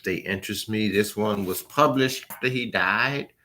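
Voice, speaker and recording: A man talking casually and informally as a book reviewer, in a halting, rambling way that trails off at the ends of phrases. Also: fairly low pitch, pushed and bright.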